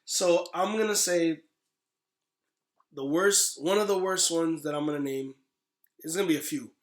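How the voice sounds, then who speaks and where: A man co-hosting a podcast, chatting casually in an emphatic, slangy, opinionated way like an excited fan. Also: on the high side, normal speed, roomy sound. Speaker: podcast co-host. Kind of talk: casual chat about games and movies.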